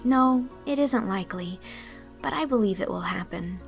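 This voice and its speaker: A woman performs a dreamy, romantic young woman in an audiobook drama. She speaks with earnest, breathy wonder and a creaky edge, over faint music. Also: medium pitch, expressive, normal speed. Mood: dreamily sure.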